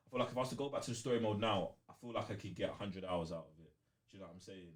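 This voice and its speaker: A man's voice: lowish voice, racing. A young man with a London accent chatting casually on a gaming podcast in slangy, emphatic, informal speech.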